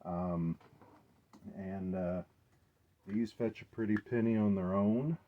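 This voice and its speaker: Low-voiced man, rambling casually, dull recording. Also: steady tone. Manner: halting, unscripted, thinking aloud. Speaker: collector presenting his gear. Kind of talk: casual rambling show-and-tell. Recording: dull, muffled lo-fi sound.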